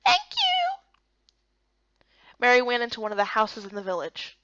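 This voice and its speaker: A woman reading a video game story as narrator and lead, in expressive scripted voice-over. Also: mid-range voice, fast talker, no echo, dull audio.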